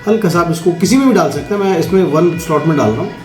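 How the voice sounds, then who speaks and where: A man's voice: a little high, fast talker. A man giving a casual, instructional product demo, speaking directly to viewers and checking in often as he explains each step. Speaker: product demonstrator. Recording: roomy echo, faint music.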